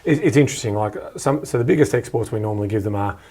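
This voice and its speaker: An Australian man with a husky, somewhat low voice hosts a podcast interview. He talks in an informal, colloquial way, like someone chatting across a table. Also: quick pace, warm tone. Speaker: podcast host. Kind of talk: casual interview chat about economics.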